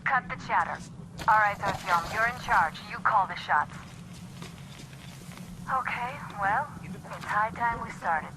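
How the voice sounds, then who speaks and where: An actress playing a brisk squad commander who gives tactical orders over the radio. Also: female voice, mid-range voice, lively intonation. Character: crisp female sniper coordinating a squad. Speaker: squad leader. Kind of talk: video game cutscene acting. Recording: game mix with battle noise.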